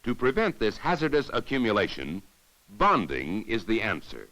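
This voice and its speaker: A man giving formal, measured, didactic narration in the scripted style of a 1950s educational safety film. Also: fairly low pitch, wildly swooping pitch, pushed and bright, rough recording.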